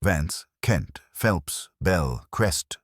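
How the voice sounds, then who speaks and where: A man's voice: sits low, expressive, very slow, husky, no echo, studio quality, full character voice. A man voicing a vain, theatrical young schemer in a mystery audiobook, with clipped, arch, sardonic phrasing. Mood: smug, glib. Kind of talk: audiobook detective story dialogue.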